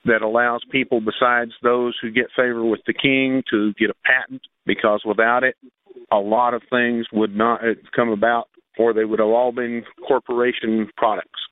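A man's voice: mid-range voice, flat delivery, normal speed. An American man leaving a listener message for a radio show over a phone line. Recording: tinny, narrow phone line.

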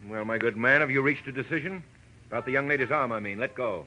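A man's voice: medium pitch, quick pace, bright, forceful tone, muffled recording, a little hiss or hum, close to an everyday voice. A man playing a suave, snide syndicate agent in a 1940s radio drama, condescending and mock-polite. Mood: smug, menacing. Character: very smooth, snide, handsome male syndicate agent.

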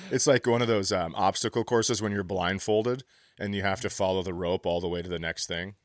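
A man's voice: low voice, steady tone, brisk, no echo, studio quality. A man co-hosting a comedy podcast, riffing in casual, profane, crude chat.

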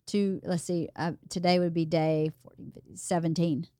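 A woman hosting a podcast in casual chat, her voice a little hoarse as she loses it, talking in a rambling, chatty way. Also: lowish voice, flat delivery, moderate pace, dark tone, dry recording, studio quality. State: slightly hoarse, losing her voice. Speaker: podcast host.

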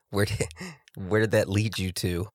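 A man co-hosting a casual podcast, sounding congested from a cold, relaxed and joking. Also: fairly low pitch, takes it slowly, dry recording, clean studio sound. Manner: relaxed, jokey, laughs often. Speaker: podcast co-host. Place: studio across from co-host.

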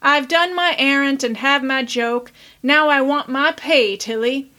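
A woman's voice: sits high, measured pace, sharp tone. A woman voicing a big, teasing young farm man who speaks in a rustic country drawl, in a storybook reading.

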